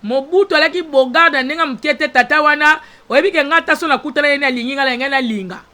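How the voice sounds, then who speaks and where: High, bright-voiced Congolese woman. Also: quick pace. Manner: repetitive, emphatic, uses rhetorical questions. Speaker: online talk show host. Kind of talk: informal opinionated monologue.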